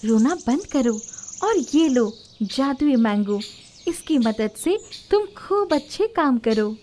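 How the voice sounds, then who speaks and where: A woman's voice: high-pitched, lively intonation, slow pace, dark tone, slight background noise. A woman voicing a kindly, generous talking tree in a storybook, soothing and instructive. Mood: consoling.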